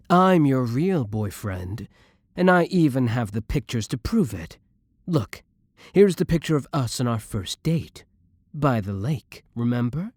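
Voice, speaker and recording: A man's voice: mid-range voice, a little mellow, no echo, clean studio sound. A man playing a jealous, menacing young boyfriend in an audio roleplay, biting with his rival and tender with the one he adores. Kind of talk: scripted romantic audio roleplay.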